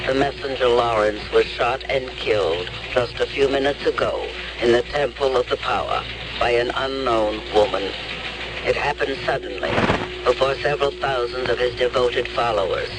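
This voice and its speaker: An actor playing a male radio newscaster who reads a formal news bulletin in a brisk manner, in a 1970s radio drama. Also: medium pitch, noisy background, close to an everyday voice.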